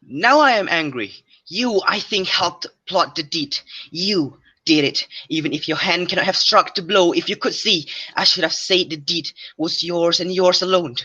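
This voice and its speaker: A young man playing a proud, suspicious, quick-tempered king of ancient Greece in an amateur radio drama. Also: high voice, sharp tone, husky, no echo, heavily acted. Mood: angry, accusing. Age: young adult. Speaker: student actor. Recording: dull lo-fi recording.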